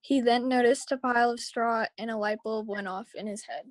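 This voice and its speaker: A woman's voice: fairly high pitch, fairly even intonation, a little fast, dry recording. A woman reading scripted story action aloud at a table read over a video call. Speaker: reader of action lines. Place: remote video call, close dry sound.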